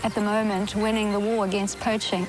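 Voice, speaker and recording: A woman's voice: medium pitch, level tone, fairly quick. A woman ecologist speaking in an earnest, articulate documentary interview. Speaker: wildlife ecologist. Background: music.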